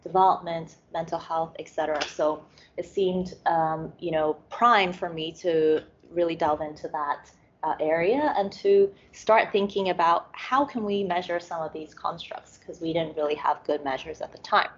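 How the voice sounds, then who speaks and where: A woman from Hong Kong talks through her slides in a measured lecture, her voice sitting a little low. She pauses often and fills gaps as she thinks. The recording sounds muffled and lo-fi. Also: a little hiss or hum. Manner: measured, many fillers and restarts. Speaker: academic speaker. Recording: muffled lo-fi audio.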